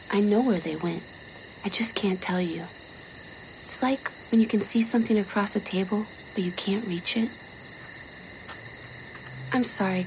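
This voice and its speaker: An actress playing an entranced, gently apologetic space crew member, speaking softly and vaguely in an audio drama. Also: female voice, mid-range voice, background noise, lightly acted. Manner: soft, vague, dreamy.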